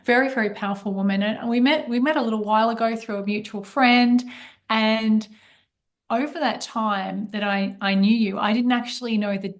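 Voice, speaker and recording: A woman hosting a live online interview as a money coach, talking excitedly with plenty of fillers over a video call. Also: fairly high pitch, normal speed. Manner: enthusiastic, warm welcoming patter with fillers.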